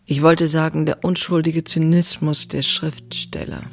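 An actress playing a passionate, anxious woman writer in her thirties, reading her diary in an intimate, confessional way with a husky, breathy voice. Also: low-pitched, little pitch movement, measured pace, heavily acted. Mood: intense, wistful. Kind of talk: dramatic literary diary reading.

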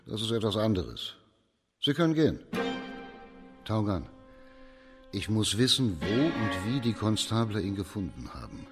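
An actor playing a shrewd, authoritative judge in a German radio crime drama, speaking in a measured, formal way. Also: male voice, on the low side, very animated, over loud music. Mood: matter-of-fact, then stern. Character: authoritative, shrewd male judge in imperial China.

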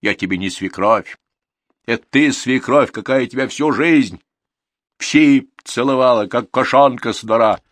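A man doing a shrill old village woman, cursing and pious, loud and raised, voice pitched well above his own, crisp dry studio sound, storybook reading. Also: a little slow, no room sound. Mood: furious. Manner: shrill, cursing, crosses herself.

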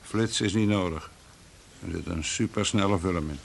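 A man's voice: sits low, moderate pace, vocal fry. An actor playing a mock-courteous, dryly menacing henchman in an old, hissy Dutch radio play. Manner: dry, falsely apologetic.

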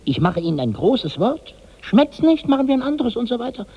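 A high, husky, harried servant. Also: male voice, big pitch swings, a little fast, long reverb tail, muffled recording, full character voice. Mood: coaxing, salesmanlike. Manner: theatrical, coaxing, declaiming. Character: harried, eager male servant feeding his master words. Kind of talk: 1960s German radio drama acting.

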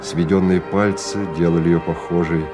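An actor narrating a bleak science-fiction radio play as a grave, measured, dramatic literary storyteller. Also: male voice, deep voice, fairly even intonation, music in the background, natural voice.

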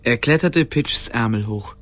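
A man's voice: mid-range voice, unhurried, fairly bright tone, faint music behind. A man narrating a radio play as a scripted storyteller.

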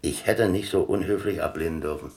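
An actor playing a boastful, easygoing captain in a German radio play, talking like a leisurely, self-satisfied storyteller. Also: male voice, deep voice, a little fast, gravelly, darkish tone. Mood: wry storytelling. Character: boastful, easygoing old sea captain spinning tales over chess.

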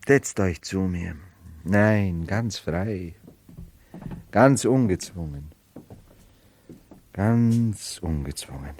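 A man's voice: low voice, extremely slow, long pauses, a little mellow, exaggerated voice. An actor playing a brooding nobleman who feigns madness as a medieval emperor, theatrical and mercurial, in a 1970s radio play. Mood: musing, dreamy. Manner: theatrical, mercurial, dwelling on words then bursting out.

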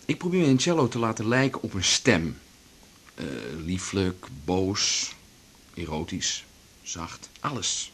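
An actor playing a gentle but excitable cellist, a coaxing and musing male partner, in a naturalistic radio drama. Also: sits low, takes it slowly, breathy edge, full character voice. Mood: earnest, coaxing.

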